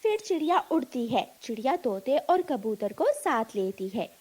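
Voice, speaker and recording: A woman's voice: a little high, sing-song, moderate pace, darkish tone, husky. A woman narrating a scripted children's story.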